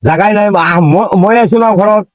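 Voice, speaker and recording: A man's voice: high-pitched, normal speed. A man speaking as a villager in casual rustic conversation, hesitant, repeating himself and pleading. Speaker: villager pleading for a government latrine.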